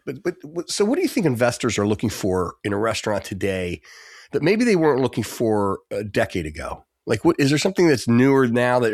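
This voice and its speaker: A man's voice: medium pitch, dry recording, studio quality. A man hosting an interview, asking loosely worded questions and affirming often. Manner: rambling questions, frequent affirmations. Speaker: podcast host.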